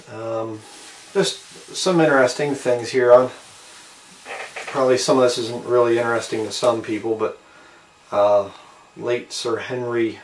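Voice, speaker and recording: Slow-talking man, roomy distant recording. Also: medium pitch. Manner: unhurried, halting, trailing off between short phrases. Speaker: amateur video maker showing a collectible. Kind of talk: casual show-and-tell narration to camera. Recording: roomy, distant mic with faint background noise.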